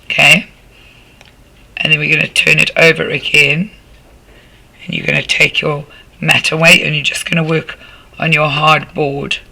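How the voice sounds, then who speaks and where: A man with a croaky voice, patiently walking a class through a craft demonstration step by step as their art tutor. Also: fairly high pitch, level tone, moderate pace, pauses often, sharp tone, poor audio. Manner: patient, instructive, talking while working with hands.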